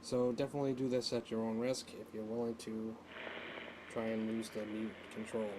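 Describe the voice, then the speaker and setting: A man giving an unscripted hands-on tutorial, speaking haltingly as he thinks aloud while working, as a hobbyist would. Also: lowish voice, little pitch movement. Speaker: hobbyist demonstrating an electronics repair. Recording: noticeable background noise.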